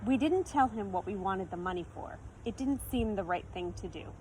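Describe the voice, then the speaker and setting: A woman's voice: medium pitch, expressive, normal speed, a little mellow, poor audio. A woman storyteller reading a children's picture book expressively, in a teacherly manner. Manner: teacherly, explains words and asks listeners questions. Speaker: read-aloud storyteller. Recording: faint background noise.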